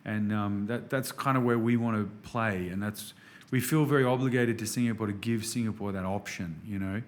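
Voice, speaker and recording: A man's voice: on the low side, steady tone, normal speed. A man in his thirties from Australia with an Australian accent, a podcast guest chatting casually and enthusiastically, full of restarts and fillers. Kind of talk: casual interview chat.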